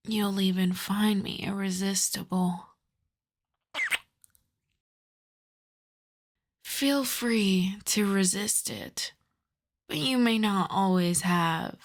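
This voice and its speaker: A woman voicing a seductive, possessive female vampire in an intimate ASMR roleplay, speaking softly and teasingly, often in a half-whisper. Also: medium pitch. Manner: slow, soft, breathy half-whispered teasing delivery.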